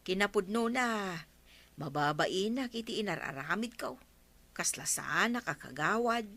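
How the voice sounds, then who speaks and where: A woman acting in a Filipino radio comedy as a long-suffering, scolding village woman with an Ilocano accent and plaintive, drawn-out exclamations. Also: medium pitch, animated, a bit bright. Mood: rueful, subdued.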